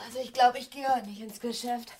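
An actress playing a whiny, complaining young adult daughter in a naturalistic radio play. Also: female voice, a little high, steady tone, a little fast, noisy background, close to an everyday voice. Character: grumbling young adult daughter living with her parents.

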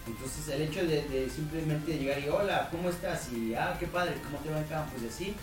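A man's voice: fairly high pitch, brisk, echoey room, over music, poor audio. A man with a Mexican Spanish accent, chatting casually on a podcast and explaining things step by step. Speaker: podcast host. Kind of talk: casual chat.

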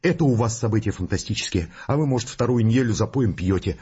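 An actor playing a rattled, skeptical scientist in a Russian audio drama, grumbling in wry, colloquial, reluctant speech. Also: male voice, lowish voice, animated, quick pace, dark tone, breathy edge, highs cut off. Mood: dismissive.

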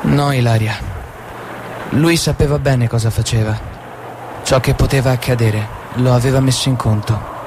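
Noisy recording, guy playing a calm spirit guide, solemn n reassuring, poetic theatre delivery, medium pitch. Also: moderate pace, lightly acted. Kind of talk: poetic stage drama. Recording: clearly noisy, outdoor-like background.

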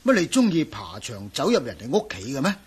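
An actor playing a testy, evasive male professor in a radio drama, raspy and blustering, speaking Cantonese with a Hong Kong accent. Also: mid-range voice, huge pitch swings, brisk. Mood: sardonic. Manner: blustering, stammering.

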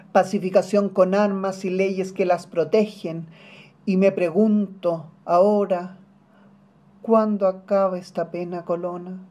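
A Chilean poet recites an elegiac protest piece at a slow, deliberate pace. The voice is mellow and middle-pitched, with a narrow, even melody. Phrases return like a litany, delivered solemnly. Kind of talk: spoken-word poetry recitation, elegiac protest poem.

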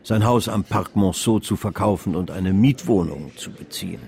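A man with a low voice narrates a radio drama. His delivery is measured and literary, telling the story from a script. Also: creaky, darkish tone.

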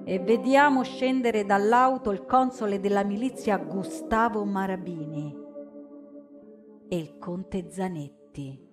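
A woman narrating a story in the first person as a stage actress, solemn and deliberate, with dramatic pauses. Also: medium pitch. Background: acoustic guitar music.

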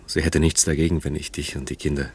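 An actor playing a hesitant, guilt-ridden man in a naturalistic radio drama, speaking in halting, apologetic phrases. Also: very deep voice, flat delivery, creaky, a little mellow, breathy edge, exaggerated voice. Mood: awkward, earnest.

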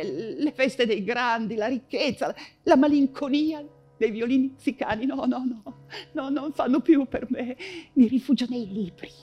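An actress playing an imprisoned, nostalgic Russian exile writer in an intense, halting theatrical monologue, repeating words for emphasis. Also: female voice, on the high side, dark tone, slightly rough. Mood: passionate, restless. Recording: music under the voice. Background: music.

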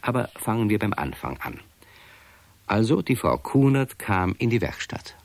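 A man's voice: on the low side, very animated, normal speed. A man narrating a children's radio play as a storyteller, speaking directly to his listeners. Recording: dry studio recording.

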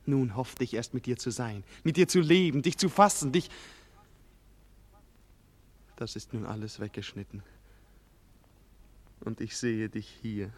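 A man's voice: medium pitch, animated, measured pace, long pauses. An actor playing a tender, impassioned young nobleman in despair, declaiming emotionally in a 1940s German radio play. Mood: grieving, tender. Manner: emotional, declamatory, bursting from subdued grief into loud outcries. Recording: vintage 1940s radio studio recording.

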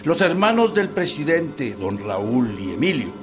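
A deferential army aide in radio drama. He is slow and cautious, with lively mid pitch under loud guitar. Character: cautious, deferential military aide advising a general. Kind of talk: historical radio drama acting. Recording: loud guitar music over voice.

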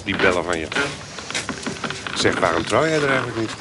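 A man's voice: on the low side, lively intonation, a little fast, lightly acted. An actor playing a chatty, rascally male swindler in a radio drama. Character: rascally tavern-loving swindler, admiring and chatty. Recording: loud background noise with birds.

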